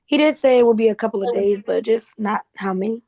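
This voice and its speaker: Woman acting a helpful cheeky office assistant lady, chatty and easygoing, medium pitch, bit dull lo-fi sound. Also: fast talker, lightly acted. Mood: apologetic. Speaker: actor in a table read. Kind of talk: casual naturalistic script read.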